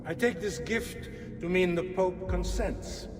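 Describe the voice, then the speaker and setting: A solemn priest in long reverb. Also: male voice, high-pitched, measured pace. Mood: measured. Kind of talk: video game cutscene acting. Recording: long church reverb, clear background noise.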